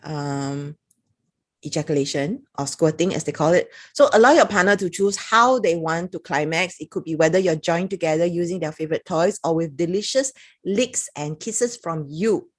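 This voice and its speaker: Chatty Singaporean woman, lowish voice. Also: moderate pace. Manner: chatty, reads passages aloud with little laughs. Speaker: product reviewer. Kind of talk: casual talk-to-camera review.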